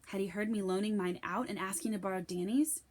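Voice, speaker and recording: A woman giving an expressive scripted reading of a children's novel as narrator. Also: mid-range voice, fairly quick, a little hiss or hum. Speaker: storybook reader.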